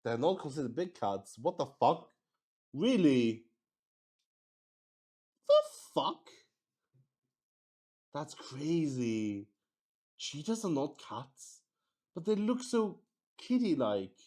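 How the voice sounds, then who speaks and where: A man's voice: a little high, big pitch swings, slow, pauses often, warm tone. A man hosting a game in casual improvised chat, thinking aloud and swearing with exclamations. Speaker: solo roleplay player and host.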